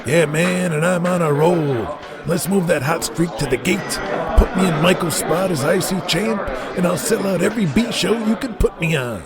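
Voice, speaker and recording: An actor playing a brash, cocky, foul-mouthed young pro wrestler in an audio drama. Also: male voice, sits high, moderate pace. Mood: cocky, eager. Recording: music playing behind the voice.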